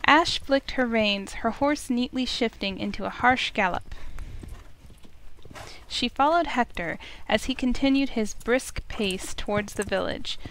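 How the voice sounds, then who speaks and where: A woman's voice: a little high, unhurried, fairly bright tone, slight background noise, poor audio. A woman streamer narrating a visual novel, reading the story aloud. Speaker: streamer, reader.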